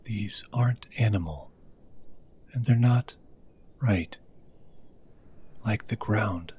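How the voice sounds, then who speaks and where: Man playing a wary woman ranger, slow-spoken. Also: lowish voice, a little mellow, a little hiss or hum, pitched above the performer's own voice. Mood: troubled, wary. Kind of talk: ASMR fantasy roleplay.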